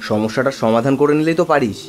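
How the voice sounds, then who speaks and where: An actor playing a practical friend giving matter-of-fact advice in an animated horror story. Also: male voice, medium pitch, quick pace, lightly acted. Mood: advising. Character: practical male friend advising the haunted narrator. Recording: faint rain noise behind voice.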